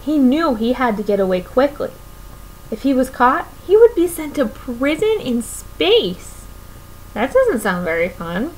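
High, animated young woman reading aloud. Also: measured pace, slight background noise, low-quality recording. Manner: expressive, asks listeners questions. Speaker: children's story reader. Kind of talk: reading a picture book aloud to children. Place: small room at home, indoors.

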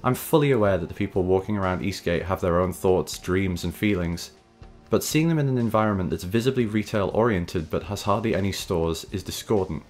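Low-voiced man narrating over music. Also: fairly quick, darkish tone. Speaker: host and narrator of a video series. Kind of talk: scripted voice-over narration, reflective video essay. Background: background music.

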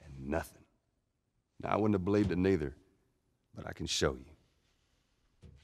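An actor playing a weary, stubborn middle-aged survivor in a video game, with a Southern US accent and a terse, gruff manner. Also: male voice, low voice, measured pace. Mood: insistent. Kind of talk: cinematic video game acting.

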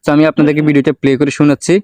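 Very fast-talking man, tutorial host. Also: fairly high pitch, monotone, dark tone, no echo. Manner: repetitive explaining, English words mixed in. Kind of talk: step-by-step software tutorial narration.

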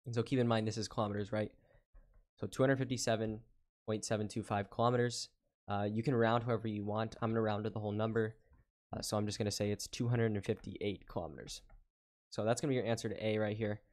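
A man with a lowish voice talks through a math problem as a tutor. He explains each step patiently, pausing with small fillers and slowing down while he reads out numbers. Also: steady tone, a little mellow. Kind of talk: whiteboard lesson walkthrough.

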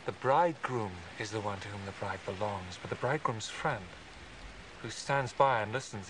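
An actor playing a steadfast, humble desert prophet in a biblical film drama, outdoors by water. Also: male voice, mid-range voice, fairly bright tone, natural voice. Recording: distant dialogue with running water noise.

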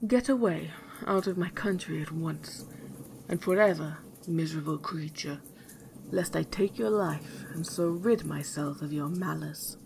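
Woman playing an indignant young king, lowish voice. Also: unhurried, vocal fry, a little mellow, slight background noise, low-quality recording. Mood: indignant, scornful. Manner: commanding, contemptuous. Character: brave young king, indignant, scornful suitor.

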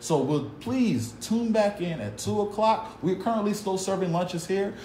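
A man's voice: high voice, lively intonation, measured pace, dark tone. A man in his thirties reading a novel aloud for kids, earnest and dramatic, recorded on a phone at a table indoors. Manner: earnest, dramatic, upbeat at the close. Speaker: youth club staff reading a novel aloud. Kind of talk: read-aloud storytelling for kids. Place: cinderblock room at a table, indoors. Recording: echoey bare room, faint hum.

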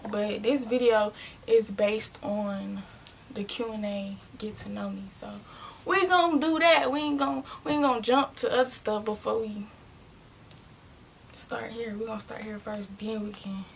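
A young woman of nineteen rambles casually into a camera mic in her small bedroom. Her voice has a gravelly fry, and she often restarts and corrects herself. A faint hiss sits behind her. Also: mid-range voice, unhurried. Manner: chatty, rambling, restarts and self-corrections, drops into quiet asides. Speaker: vlogger. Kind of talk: casual Q and A talking to viewers.

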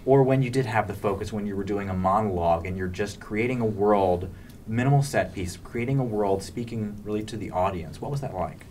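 A man's voice: fairly low pitch, fairly quick, darkish tone. A man interviewing guests in a conversational style. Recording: slightly distant mic, faint room noise.

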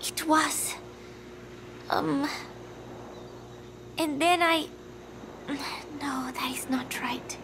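A woman playing a gentle, confused young student in a video game, speaking softly and hesitantly and trailing off. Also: high-pitched, fairly even intonation, drawn-out words, pauses often, noisy background, full character voice. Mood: confused, weary. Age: young adult. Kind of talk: dramatic video game acting.

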